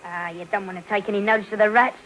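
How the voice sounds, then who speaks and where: A woman's voice: medium pitch, fairly even intonation, fast, fairly bright tone, soft background music, close to an everyday voice, poor audio. A woman playing a working-class London boy with a Cockney accent, bossy and matter-of-fact, in a 1950s radio drama. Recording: old 1950s radio broadcast.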